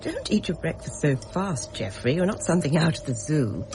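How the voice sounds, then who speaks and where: An actress playing a protective, brisk mother in a radio drama, concerned and gently scolding. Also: sits low, sing-song, background noise. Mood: concerned, fussing.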